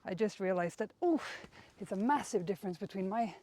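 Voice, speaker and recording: A woman's voice: mid-range voice, expressive, a little fast, warm tone. A young woman, a non-native English speaker, chatting casually and encouragingly as she leads a workout outdoors. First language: not English. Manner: encouraging, conversational. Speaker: workout instructor and host. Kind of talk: casual workout instruction and chat.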